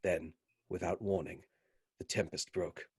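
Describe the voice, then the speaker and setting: An actor playing a sober gentleman doctor who narrates a radio play in a formal, measured way. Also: sits low, steady tone, breathy edge, no room sound, studio quality, heavily acted. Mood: grave reporting. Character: Doctor Seward, sober gentleman doctor presenting evidence.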